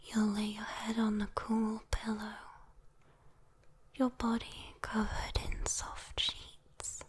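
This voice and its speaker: A British woman voicing a sweet, teasing, doting demon girl in a soothing ASMR bedtime roleplay, whispering gently with coy endearments. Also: fairly high pitch, monotone, slow, slightly rough, full character voice. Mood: tender, reassuring. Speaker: ASMR voice performer.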